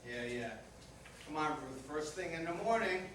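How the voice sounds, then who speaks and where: A man's voice: a little high, animated, normal speed, a bit bright. Echoey, grumbling older husband played by a man. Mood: weary, irritated. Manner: dry, grumbling, conversational. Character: grumbling, distracted older husband reading the paper. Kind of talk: community reader's theater comedy. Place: reader's theater performance in echoey venue. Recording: very echoey, distant, noisy hall recording.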